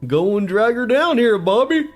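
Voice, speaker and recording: A man's voice: extremely high pitch, very animated, normal speed, soft background music. A man playing a sinister, coaxing TV clown who talks to children in a horror audio drama. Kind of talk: kids' show parody in audio horror.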